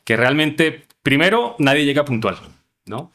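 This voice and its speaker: A man's voice: on the high side, expressive, measured pace, pushed and bright. A man with a Colombian accent answering interview questions as a podcast guest, explaining things conversationally and often tagging sentences with a questioning "¿no?". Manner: explanatory, frequent "¿no?" tags.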